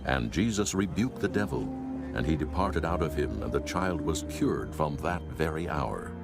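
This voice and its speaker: A man narrating scripture in archaic English as a solemn, measured storyteller. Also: very deep voice, animated, loud music behind the voice.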